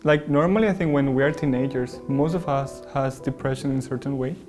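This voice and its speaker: A man's voice: mid-range voice, brisk, music in the background. A man from Spain, a panel guest, talking openly and reflectively about his own experience. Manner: open, reflective. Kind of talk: candid personal discussion.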